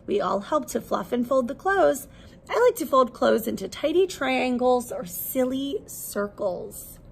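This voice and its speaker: Slow-paced woman reading aloud to preschoolers. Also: sits high, gravelly, slightly rough. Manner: expressive, simple, deliberate phrasing for small children. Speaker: preschool teacher. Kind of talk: children's read-aloud with questions to young listeners.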